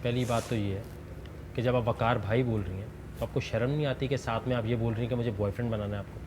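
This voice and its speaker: A man's voice: mid-range voice, fairly even intonation, fast talker, background noise. Stern male reality show host. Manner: stern and mocking with the contestant. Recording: music loud behind the voice.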